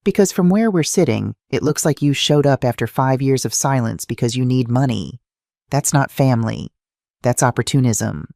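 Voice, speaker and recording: A woman's voice: extremely low pitch, lively intonation, moderate pace, vocal fry, warm tone, no echo, studio quality, full character voice. A woman voicing a firm, protective woman who challenges others in an audio story. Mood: accusing. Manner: correcting, challenging.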